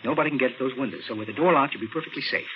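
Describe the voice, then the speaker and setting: An American man playing a cold-blooded murderer posing as a policeman in a 1940s radio thriller, with brisk, clipped, commanding tough talk. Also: mid-range voice, natural voice. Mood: brisk, reassuring. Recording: muffled, noisy vintage radio recording.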